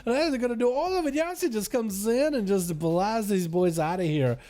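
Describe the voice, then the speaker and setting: Excitable young man casting a match. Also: high-pitched, moderate pace. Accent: faint Russian. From Russia. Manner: excitable, laughs often, says "dude" a lot. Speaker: gaming commentator.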